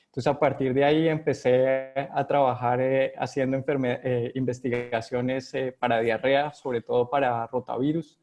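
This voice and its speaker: A man's voice: medium pitch, flat delivery, moderate pace, hardly any pauses, dull audio, over music. A middle-aged man with a Colombian accent, answering interview questions from home with many hesitations and restarts. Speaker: guest epidemiologist.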